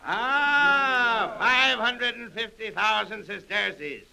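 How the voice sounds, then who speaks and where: An actor playing a grandiose, goading Roman Praetorian officer running an auction in declamatory 1930s radio drama style. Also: male voice, very high voice, extremely slow, vocal fry, sharp tone, full character voice, poor audio. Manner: declamatory, theatrical oratory. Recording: old 1930s radio recording.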